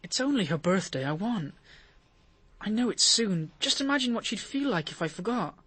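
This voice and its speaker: An actor playing a smooth-talking, wheedling man in a radio drama. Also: sits high, lively intonation, normal speed, sharp tone, no room sound, full character voice.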